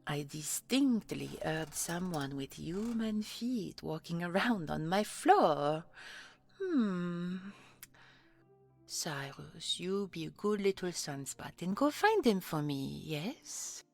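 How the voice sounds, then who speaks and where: A woman playing an imperious, predatory queen in a fantasy audio roleplay, with a commanding, condescending, drawn-out delivery. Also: fairly low pitch, very animated, gravelly, faint music behind, full character voice. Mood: cold, suspicious. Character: imperious, menacing griffin queen, coolly predatory.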